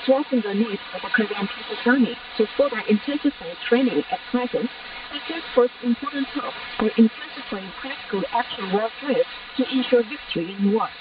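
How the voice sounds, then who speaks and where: A woman newsreader with a fairly high voice reads a formal, solemn bulletin. She comes over shortwave radio, sounding distant, with clear static hiss around her words. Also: fairly quick, muffled recording. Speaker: radio news reader. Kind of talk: scripted news bulletin reading.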